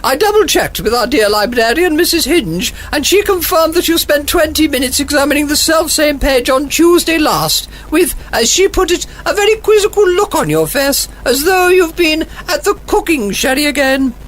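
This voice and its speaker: Woman playing a haughty high-pitched British agony aunt. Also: animated, normal speed, hardly any pauses. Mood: pompous, scolding. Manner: pompous, withering, formal. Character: haughty, sardonic, gin-loving 1940s village agony aunt. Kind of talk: 1940s radio comedy acting.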